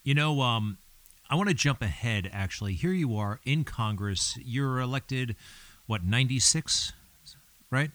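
A man's voice: medium pitch, animated, unhurried, a bit bright, no echo. A man interviewing a guest, asking long, chatty questions with fillers. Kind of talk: conversational interview.

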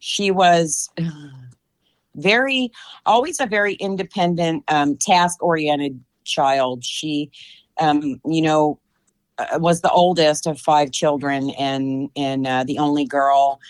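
A woman's voice: low voice, slow pace. A woman in her fifties answering interview questions, thoughtful and hesitant with many fillers. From United States. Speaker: interview guest.

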